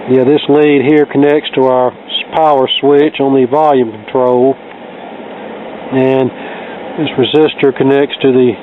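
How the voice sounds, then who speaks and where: A man narrating a hands-on repair casually, in a plain-spoken, folksy, matter-of-fact way. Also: mid-range voice. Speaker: electronics repair hobbyist. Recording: tinny band-limited audio with steady background hiss.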